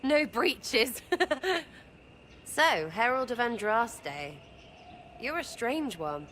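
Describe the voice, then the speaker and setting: A woman's voice: a little high, sing-song, very slow, a bit bright, a little hiss or hum, exaggerated voice. A woman voicing a cheeky, irreverent young thief archer in a video game cutscene, brash and crude in her speech. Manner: brash, mocking, slangy and crude.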